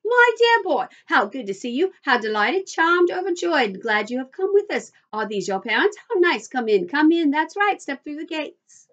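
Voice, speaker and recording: A woman voicing an effusive, eccentric little male factory owner from a children's storybook, in a high, fluty voice and gushing bursts. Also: big pitch swings, muffled recording. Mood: gushing delight. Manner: gushing, hurried bursts of exclamation.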